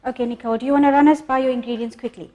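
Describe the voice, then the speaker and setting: A woman hosting a cooking show, chatting casually with her guest and answering with quick short affirmations. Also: high voice, steady tone, dark tone, muffled recording. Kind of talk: casual interview chat.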